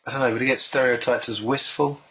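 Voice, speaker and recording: A man interviewing a songwriter, chatty and encouraging, agreeing quickly and asking loose questions. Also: medium pitch, fairly even intonation, fairly bright tone.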